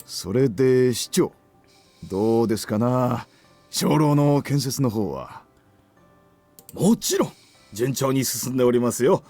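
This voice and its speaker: A courteous middle-aged gentleman in visual novel style. He speaks formally, like business small talk, in a medium-pitched voice recorded dry, with faint music underneath. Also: lively intonation, moderate pace, pauses often, no echo. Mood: polite, genial. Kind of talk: Japanese visual novel voice acting.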